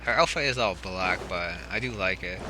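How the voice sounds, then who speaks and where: A man's voice: sits low, expressive, normal speed, noisy background. A man giving casual, offhand commentary while playing a video game. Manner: offhand, musing, with fillers. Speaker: gaming commentator. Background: game music.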